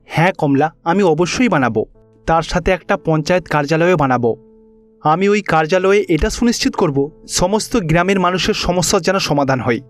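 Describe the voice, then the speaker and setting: A man's voice: on the high side, expressive, brisk, lightly acted. A man playing a kindly, earnest village headman who is troubled for his people, in a cartoon story. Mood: grateful, resolved. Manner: earnest, caring. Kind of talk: cartoon story dialogue acting. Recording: music behind the voice.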